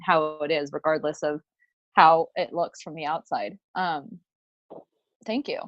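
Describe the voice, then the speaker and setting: A woman's voice: low voice, fairly even intonation, unhurried, fairly bright tone, no room sound. A woman hosting a podcast, asking casual questions, often laughing and rephrasing as she goes. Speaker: podcast host. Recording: dull, muffled sound, dry.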